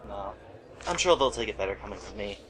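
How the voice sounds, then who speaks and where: A man's voice: on the low side, animated, fast talker, background noise, natural voice. A man playing a casual, slightly hesitant friend of an investigator in an amateur radio play. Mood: reluctant.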